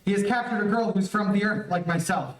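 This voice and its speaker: A man's voice: high voice, brisk, lightly acted. A man playing a brave, earnest young space hero in an old-time radio adventure, with declarative delivery. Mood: earnest, determined. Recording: echoey, distant streamed stage audio.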